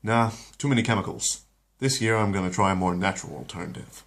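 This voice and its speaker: A man voicing a calm, unimpressed talking family dog with a dry manner. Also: lowish voice, quick pace. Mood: dismissive.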